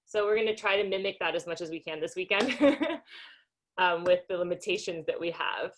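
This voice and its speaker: A woman's voice: lowish voice, normal speed, slight background noise. A woman from Denmark who grew up in Singapore and the US, hosting a conference welcome over a video call in a casual, informal way with many ums and small laughs. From Denmark, raised in Singapore and the US. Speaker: conference organizer and host. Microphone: computer microphone on a video call.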